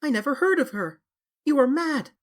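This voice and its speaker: Dorian Gray, a man doing a frantic young gentleman. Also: sits high, animated, normal speed, pitched above the performer's own voice. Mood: panicked. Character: young man, desperate, pleading then triumphant. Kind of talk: audiobook character voice.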